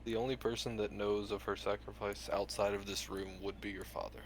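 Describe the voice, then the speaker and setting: A man playing a reformed villain ninja mentor in a tabletop game, speaking in a deliberate, earnest, halting way. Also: lowish voice, little pitch movement, natural voice. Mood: grave, instructing. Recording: noticeable background room noise.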